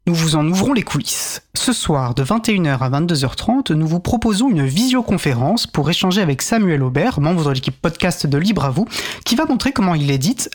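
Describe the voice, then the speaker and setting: A man hosting a radio show and reading out announcements. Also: a little high.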